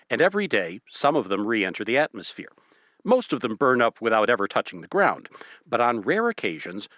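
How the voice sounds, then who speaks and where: A man playing a measured, skeptical professor who explains things in a precise, formal way in a radio drama. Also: mid-range voice, fairly bright tone, dry recording, clean studio sound. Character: measured, skeptical male professor giving expert analysis. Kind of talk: radio drama acting as a phone interview.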